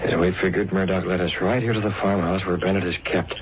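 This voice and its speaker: An actor playing a masked vigilante who talks like a menacing crook, clipped and taunting, in 1930s radio style. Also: male voice, sits low, fairly even intonation, fast talker. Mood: cool, commanding. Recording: noisy, dull vintage radio recording.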